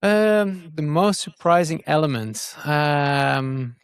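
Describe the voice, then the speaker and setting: A man's voice: on the high side, takes it slowly, clean tone, no room sound. Guy being interviewed, casual and explanatory, doubles up on words, dry close sound. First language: Norwegian is not native; speaks it brokenly. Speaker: digital growth marketer, podcast guest.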